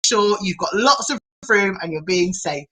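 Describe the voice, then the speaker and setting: A woman reading a picture book aloud to children as a storyteller, in an animated, encouraging and theatrical manner. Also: mid-range voice, a little slow, slightly rough.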